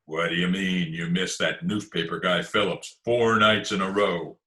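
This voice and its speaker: Man playing a low, forceful gangster boss. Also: steady tone, normal speed. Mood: impatient, bossy. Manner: sneering, slangy, commanding. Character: tough, curt gangster boss. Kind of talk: 1940s radio crime comedy acting. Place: remote video-call performance.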